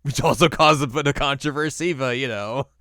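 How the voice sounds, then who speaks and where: A man's voice: mid-range voice, pushed and bright, dry recording, studio quality. A man co-hosting a podcast, chatting casually about movies in a jokey, bantering way. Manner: jokey, bantering, quick to laugh. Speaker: podcast co-host.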